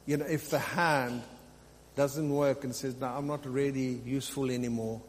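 A man's voice: medium pitch, fairly even intonation, normal speed. Male pastor preaching in a reverberant hall. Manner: exhorting, repeats phrases for emphasis. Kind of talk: sermon, extemporaneous teaching. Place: large reverberant room, live preaching. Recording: hall reverb, faint hum and noise.

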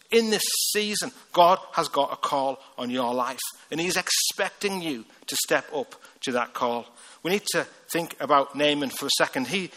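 A man with a Liverpool accent preaching a lively, joking sermon and reading scripture with acted voices. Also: a little high. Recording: long hall reverb.

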